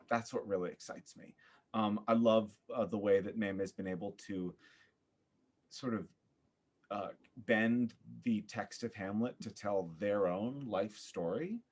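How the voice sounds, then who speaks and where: A man giving a thoughtful interview as a podcast guest, searching for his words with an earnest, halting manner. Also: on the low side, a little mellow. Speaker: podcast guest, theater artist.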